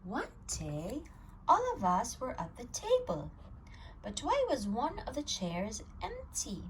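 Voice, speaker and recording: A woman reading a children's picture book aloud at storytime, telling it with an expressive, swinging voice. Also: a little high, slow pace, slight background noise, poor audio. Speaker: storytime reader.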